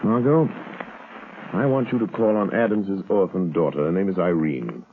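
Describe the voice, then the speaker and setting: An actor playing a calm, wealthy gentleman detective in a vintage radio drama, speaking in a measured, assured way. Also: sits low, a little hiss or hum. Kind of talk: 1940s radio acting. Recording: tinny old radio broadcast.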